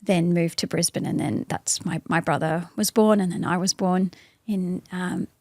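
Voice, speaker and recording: An Australian woman, an interview guest, speaking thoughtfully in a casual conversation with many pauses and restarts. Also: fairly low pitch, fairly even intonation, a little mellow, no echo.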